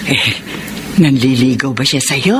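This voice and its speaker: A woman's voice: very deep voice, a little slow, background noise. A Filipino woman playing a caring, nosy older aunt in a radio drama. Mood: teasing, encouraging.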